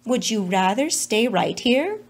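A woman's voice: fairly high pitch, sing-song, unhurried. A woman voicing a doting mother coaxing her difficult young son in a children's storybook, sweetly.

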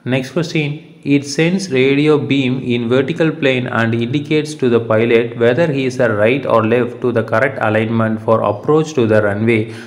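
Methodical male exam tutor reading quiz questions. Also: medium pitch. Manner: methodical, repetitive, formulaic delivery. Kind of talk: reading out multiple-choice quiz questions and answers.

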